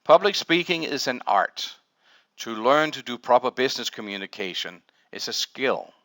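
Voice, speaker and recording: A man's voice: medium pitch, bright, forceful tone, dry recording, studio quality. A middle-aged man from Denmark, giving a humorous prepared speech full of wordplay at a public speaking club. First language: Danish. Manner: playful storytelling with wordplay.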